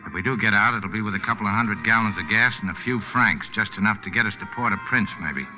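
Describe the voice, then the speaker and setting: An actor playing a tough, wry boat skipper in a 1940s radio drama, speaking in terse, deadpan lines. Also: male voice, fairly low pitch, quick pace, music in the background, natural voice. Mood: wry, guarded. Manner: terse, hard-boiled, deadpan. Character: tough, wry American boat skipper, cool under threat. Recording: dull, noisy old radio recording.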